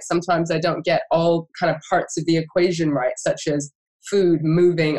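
A woman's voice: deep voice, poor audio. Reflective woman hosting an interview. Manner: reflective, warm questions, frequent fillers and restarts. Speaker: podcast host. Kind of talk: conversational interview.

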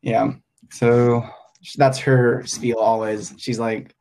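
A man's voice: medium pitch, little pitch movement, slow pace, a little mellow, rough recording. A young man from Hong Kong, a teacher and podcast guest, talking casually and hesitantly, thinking aloud with many fillers.

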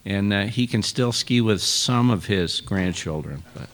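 A middle-aged man with a fairly low voice introduces the morning's speaker. He slips in small jokes and pauses on fillers as he goes. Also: fairly bright tone. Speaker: event host introducing a speaker.

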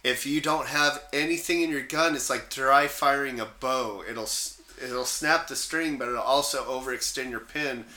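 A man's voice: mid-range voice, normal speed, hardly any pauses, pushed and bright, reverberant. A man co-hosting a podcast in casual chat, speaking in an informal, blunt way with the odd mild swear. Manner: informal, blunt, mildly profane. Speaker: podcast co-host. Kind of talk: casual chat about guns.

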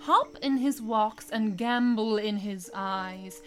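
A woman's voice: mid-range voice, slow, soft background music, lightly acted. A woman playing an imperious, lovestruck fairy queen, lyrical and regal, in a Shakespearean audio drama. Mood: commanding, doting.

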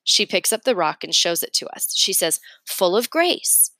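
A woman's voice: fairly low pitch, a little fast, pushed and bright, no echo. A woman narrating a children's novel aloud in a storytelling read-aloud style. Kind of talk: expressive audiobook-style reading of a children's novel.

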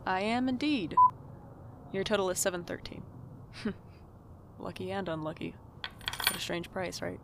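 Woman playing a creaky, sardonic young shop worker. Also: on the low side, measured pace, long pauses, very noisy. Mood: wry, polite. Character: sardonic young night-shift shop worker, easily exasperated. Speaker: lead actor and narrator. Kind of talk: audio drama dialogue and narration.

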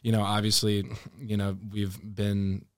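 Male podcast host, young adult, low smooth voice, dry studio sound, lots of fillers. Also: completely flat delivery, unhurried, no room sound. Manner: hesitant, filler-heavy, meandering questions. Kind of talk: casual interview chat.

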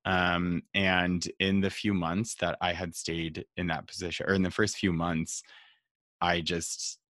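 Young man, very low voice, casual rambling chat, lots of fillers, dry close mic. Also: flat delivery, measured pace, a bit bright, no echo. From rural Nevada, USA. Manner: rambling, frequent fillers and restarts. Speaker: marketing staff guest.

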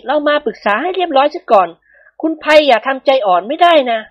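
A woman voicing a fierce, scheming jealous young wife in a storybook reading, speaking hard and sharp. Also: high-pitched, sing-song, fast talker, highs cut off, pitched above the performer's own voice. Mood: stern.

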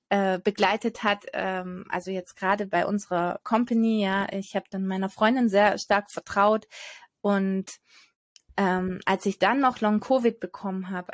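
A woman's voice: medium pitch, dry recording. Earnest hesitant woman, dry close sound. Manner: earnest, hesitant with frequent fillers. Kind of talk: personal testimony in casual conversation.

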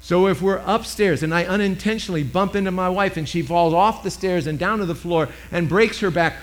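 Loud emphatic older male preacher. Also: sits high, fairly quick. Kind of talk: sermon. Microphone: microphone.

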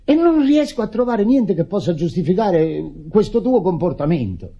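An actor playing an indignant, self-pitying widower in a radio drama, declamatory and stammering in agitation. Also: male voice, high-pitched, big pitch swings, normal speed, dark tone, slightly rough, full character voice. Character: indignant grieving widower, theatrical, self-pitying, berating his sister. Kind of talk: Italian radio drama acting. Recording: dull lo-fi old radio recording.

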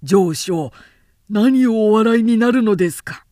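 A woman as forceful male army officer, projected. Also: mid-range voice, lively intonation, unhurried, no echo, studio quality, close to an everyday voice. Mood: puzzled. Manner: formal, martial, emphatic projection. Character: loyal male army officers, urgent and deferential.

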